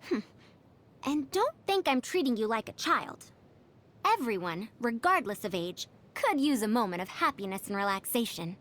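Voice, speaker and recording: High, lively, schoolmarmish female inventor. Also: moderate pace. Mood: proud, kindly. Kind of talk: video game character voice lines.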